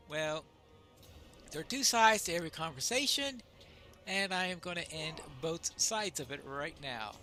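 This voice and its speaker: A man's voice: high voice, bright, forceful tone. A man narrating his video game playthrough in a casual, wry, musing way. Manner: wry, musing, mock-polite.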